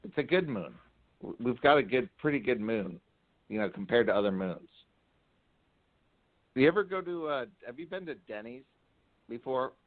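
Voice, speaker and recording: A man prank-calling a radio show as an earnest, pedantic, rambling lunar expert, with a deadpan delivery. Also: mid-range voice, normal speed, long pauses, natural voice. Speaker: prank caller. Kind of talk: phone call to a radio show. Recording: narrow tinny phone line.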